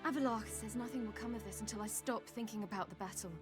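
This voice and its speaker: A woman's voice: a little high, fast, music in the background, lightly acted. An actress playing a fiery, headstrong young swordswoman in a video game, speaking bluntly. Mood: weary, candid. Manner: blunt, impassioned.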